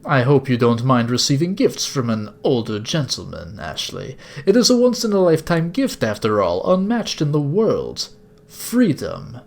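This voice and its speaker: A man's voice: medium pitch, very animated, moderate pace, gravelly, a little mellow. Man putting on a sinister, sing-song elderly cult lord. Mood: silky, benevolent menace. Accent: put-on foreign accent. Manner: formal, unctuous, preaching. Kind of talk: video game villain monologue.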